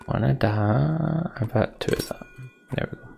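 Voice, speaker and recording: A man's voice: mid-range voice, normal speed, gravelly, warm tone, a little hiss or hum. A young man thinking aloud as he plays a game, muttering to himself. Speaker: let's play host. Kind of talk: gameplay commentary.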